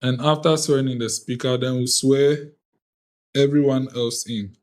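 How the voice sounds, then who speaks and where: A man from Ghana with a Ghanaian accent, convening an online youth parliament on a video call in a deliberate, formal way. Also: mid-range voice, fairly even intonation, a little mellow. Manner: deliberate, measured, reads out lists of names. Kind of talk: formal ceremonial address.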